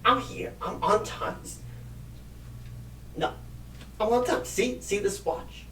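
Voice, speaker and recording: A man playing a clueless, flustered job recruiter in an ASMR comedy roleplay, stammering and repeating himself in a husky, halting voice. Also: high-pitched, sharp tone, slight background noise, voice pushed up, heavily acted, rough recording. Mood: defensive, muttering. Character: flustered, clueless, absent-minded male job recruiter, apologetic and rambling. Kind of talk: ASMR comedy roleplay, celebrity-style impression.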